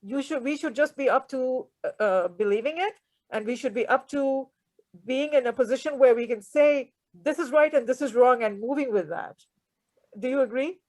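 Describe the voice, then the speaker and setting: A woman from Pakistan, an Urdu speaker, speaking reflectively on a video call with halting restarts. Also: high-pitched, a little mellow, no echo, rough recording. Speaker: workshop participant. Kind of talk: earnest discussion.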